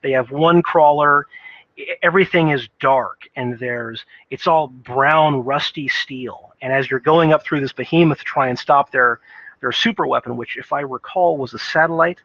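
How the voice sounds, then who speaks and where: A man's voice: medium pitch, moderate pace, a bit bright, no echo. Man rambling earnestly, dull lo-fi mic. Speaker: video game commentator. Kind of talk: casual unscripted opinion commentary on video games.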